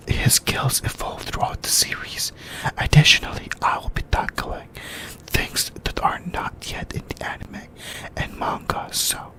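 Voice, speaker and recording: Slow, whispering ASMR host. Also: fairly bright tone. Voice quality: breathy unvoiced whisper. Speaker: host of an anime discussion video.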